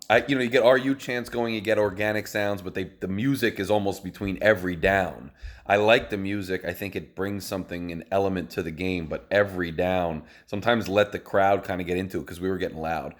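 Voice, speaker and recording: A man with a hoarse voice hosting a casual postgame football recap, rambling and enthusiastic, recorded on a phone. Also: low voice, fairly even intonation, a little fast. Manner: rambling, enthusiastic, many fillers. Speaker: host. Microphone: phone video.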